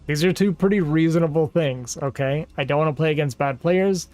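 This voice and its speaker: Man, game streamer talking to his chat; somewhat raised pitch, rambling and exasperated, faint background music. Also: brisk, a little mellow. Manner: rambling and exasperated, heavy use of "like". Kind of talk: casual livestream chat with viewers.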